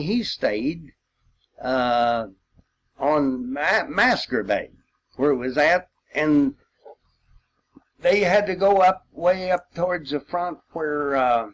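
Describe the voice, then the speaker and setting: A man's voice: on the high side, poor audio. An elderly man, a war veteran reminiscing informally in a folksy, rambling way with old-time expressions. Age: 80s or older. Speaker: World War II veteran sharing memories.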